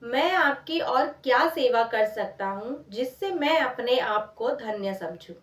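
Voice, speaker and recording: A woman's voice: on the high side, expressive, slow. Humble village father read by a woman, echoey. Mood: humble, reverent. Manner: deferential. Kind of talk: storyteller's quoted dialogue. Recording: echoey room, distant microphone.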